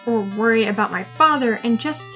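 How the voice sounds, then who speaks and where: A woman's voice: fairly high pitch, normal speed, sharp tone, soft background music. A woman playing a jaded, stubborn young agent in an audio drama, with a sarcastic, dismissive manner.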